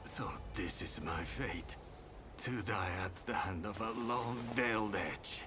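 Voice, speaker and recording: Slow, resigned samurai protector. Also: male voice, on the low side, over loud music. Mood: resigned, grave. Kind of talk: dramatic video game acting.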